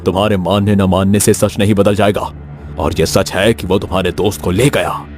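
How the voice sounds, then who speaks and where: A man acts out an ominous hotel manager from a horror cartoon. The voice is low and grave, certain of every warning, over clear music. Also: fairly even intonation, normal speed, close to an everyday voice. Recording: music behind the voice.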